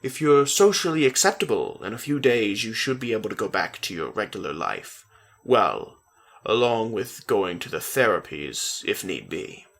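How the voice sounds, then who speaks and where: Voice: mid-range voice, sing-song, normal speed, fairly bright tone. A reader voicing a female hospital nurse, patient and hesitant, explaining carefully in a dramatic story reading. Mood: businesslike.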